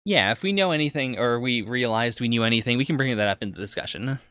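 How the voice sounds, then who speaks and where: A man's voice: mid-range voice, animated, fast talker, pushed and bright, no echo, studio quality. A man chatting casually as a podcast co-host, reacting to anime as he watches.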